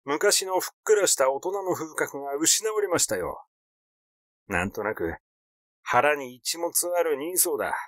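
A man's voice: a little high, lively intonation, measured pace, fairly bright tone, no echo, clean studio sound, voice pushed up. A man voicing a glib fifty-year-old artist in a story, nervously defiant, protesting in chatty, casual speech. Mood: sulky grumbling.

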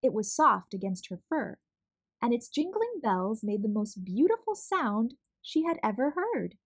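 A woman narrating a children's storybook as a read-aloud host, expressive, measured and clearly enunciated. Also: on the high side.

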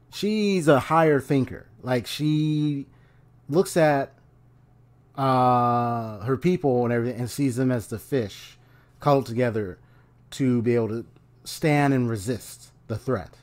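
A man's voice: medium pitch, a little slow. A young man casually reacting to a game trailer at home, speaking in a rambling, hesitant way into a desk mic. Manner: rambling, hesitant, many restarts, relaxed. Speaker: video game reaction youtuber. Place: small room at home, indoors. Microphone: desk microphone on a stand.

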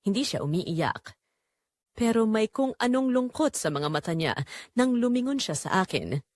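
A woman's voice: a little high, big pitch swings, normal speed, darkish tone. A woman narrating a scripted, confessional first-person story. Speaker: narrator of an audio drama, reading as the wife.

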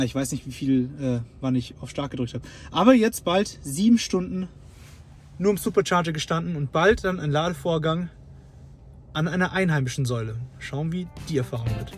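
A man's voice: sits high, slight background noise. A man vlogging casually on a road trip, chatty and enthusiastic, with plenty of fillers, talking from his car and at charging stations.

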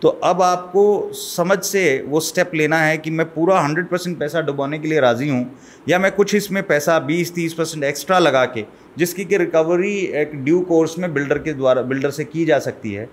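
A middle-aged man as a guest expert, explaining step by step in a studio interview at a desk mic. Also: fairly high pitch. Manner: measured, explaining, code-mixes English terms. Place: small studio set. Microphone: desk mic on boom arm.

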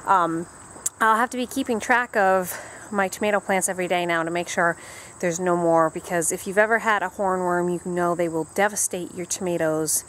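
A woman's voice: on the low side, steady tone, normal speed, fairly bright tone. A woman in her thirties narrating a casual garden vlog, speaking into a handheld camera outdoors. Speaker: vlogger. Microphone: handheld camera at arm's length. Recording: outdoor camera audio with background noise.

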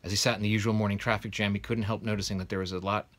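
A man's voice: lowish voice, little pitch movement, racing, fairly bright tone. A man in his thirties reading a children's story aloud as a narrator. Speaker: guest reader of a children's novel. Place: small bedroom, indoors, sitting in bed.

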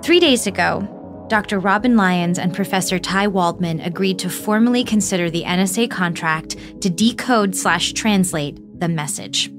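A woman's voice: on the low side, normal speed, music in the background, natural voice. A woman acting as an eager American podcast host. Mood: eager, intriguing. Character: young woman podcast host, eager, teasing, reporting a mystery. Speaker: podcast narrator. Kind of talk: scripted documentary podcast narration.

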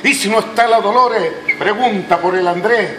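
A man's voice: sits high, moderate pace, close to an everyday voice. A man impersonating a romantic balladeer and reciting a cheeky folk verse in a large dance hall. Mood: playful. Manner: crooning, then reciting a cheeky rhyme. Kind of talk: singing impression and folk verse. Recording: echoey hall, background noise.

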